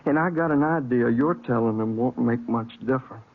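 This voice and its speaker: An actor playing a vain, sly gunslinger outlaw in a 1950s radio western, drawling and boasting in an American Western accent. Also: male voice, medium pitch, a little fast, lightly acted. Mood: smug. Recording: tinny, band-limited old radio recording.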